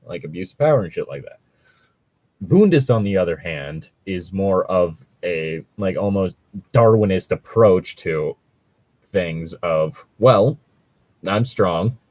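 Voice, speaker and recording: Low-voiced man in casual, halting commentary. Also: animated, darkish tone, no echo. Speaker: podcast host reacting to read-aloud Reddit posts.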